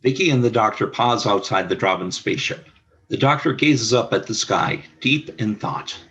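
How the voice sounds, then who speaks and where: A man reading the stage directions of a fan radio play in an even, descriptive way. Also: fairly low pitch, normal speed, dull audio. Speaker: narrator.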